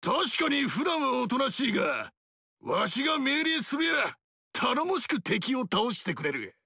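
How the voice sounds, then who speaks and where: A gravelly, blustering barbarian king. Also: extremely high pitch, sing-song, measured pace, sharp tone, dry recording, studio quality, exaggerated voice. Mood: boastful. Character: boisterous burly male barbarian king, proud. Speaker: warlord character. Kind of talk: video game character dialogue.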